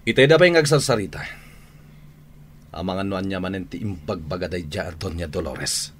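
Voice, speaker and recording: Low-voiced fretful boss. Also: male voice, moderate pace, breathy edge. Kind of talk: Ilocano radio drama acting.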